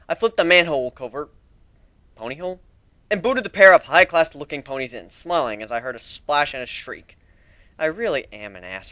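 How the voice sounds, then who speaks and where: Bright-voiced man reading a story dramatically. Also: fairly high pitch, dry recording. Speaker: amateur story reader. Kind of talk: dramatic reading of first-person fantasy fan fiction.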